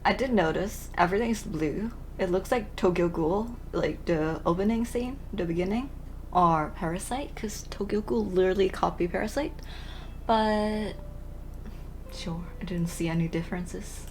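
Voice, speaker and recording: Creaky-voiced woman streaming a game. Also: mid-range voice, normal speed, a little mellow, slight background noise, low-quality recording. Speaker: let's play streamer. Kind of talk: casual gameplay commentary.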